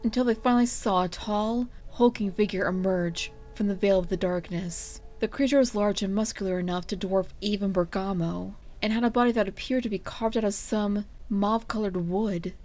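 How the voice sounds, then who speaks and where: A woman's voice: mid-range voice, normal speed, darkish tone, soft background music. A woman hosting and narrating a fan fiction reading in a casual, storytelling style. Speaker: fan fiction reader and channel host.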